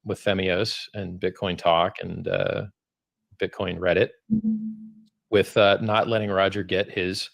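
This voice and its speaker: A man in an archived debate, hesitantly summarizing the other side's points with many filler pauses. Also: low-pitched, flat delivery, gravelly, fairly bright tone.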